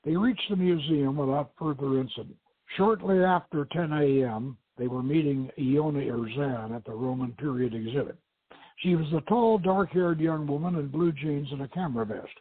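A man's voice: a little high, normal speed, husky. A man reading an adventure story aloud as a dramatic narrator and host. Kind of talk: dramatic audiobook-style narration. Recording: tinny band-limited radio stream, roomy echo.

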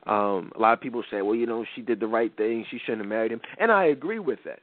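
A man's voice: medium pitch, quick pace. A man hosting a talk show, speaking congested from a cold, rambling with many restarts and fillers. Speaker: podcast host. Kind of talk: casual radio-show talk. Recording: tinny, dry phone-like sound.

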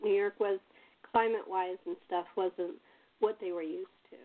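A woman's voice: mid-range voice, steady tone, normal speed. An American woman from Los Angeles hosting a casual chat in a rambling, repetitive way over tinny phone-like audio. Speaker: host and playwright.

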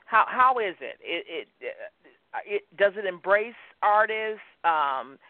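A woman's voice: mid-range voice, animated, takes it slowly, no room sound. A woman hosting an interview, asking questions eagerly and hunting for words with plenty of "um"s. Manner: enthusiastic, searching for words with many "um"s. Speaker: host. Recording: tinny phone-like sound, dry.

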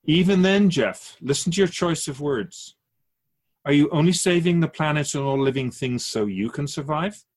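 A man playing a wise otherworldly keeper of souls in a radio drama, measured and didactic, with gentle, wry teasing. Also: on the high side, expressive. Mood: gently chiding. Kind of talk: conversational radio drama acting.

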